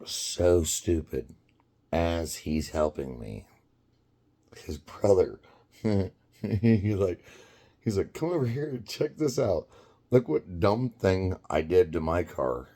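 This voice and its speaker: A man in his fifties tells a story in an unhurried, very deep voice. He rambles and stops to restart sentences, and laughter keeps slipping into his talk. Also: lively intonation, warm tone. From United States. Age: 50s. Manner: rambling, halting, quick to laugh. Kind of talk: casual storytelling.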